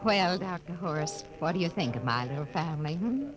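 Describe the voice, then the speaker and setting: A woman's voice: lowish voice, expressive, fairly quick, natural voice. An actress playing a kindly, fussing middle-aged mother, chatty and solicitous, in a 1950s radio drama. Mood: chatty, proud. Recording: old radio recording, music behind.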